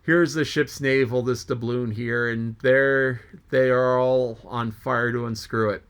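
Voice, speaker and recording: A man's voice: mid-range voice, fairly bright tone, clean tone. Guy doing a joking old-time sailor mate, rambling and chuckling to himself. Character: jolly joking middle-aged male sea mate.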